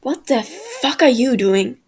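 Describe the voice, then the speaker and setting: A woman voicing an angry, defensive teenage boy who snaps, swears and shouts, in a story read aloud. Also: a little high, animated, measured pace, breathy edge, exaggerated voice. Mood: shouting.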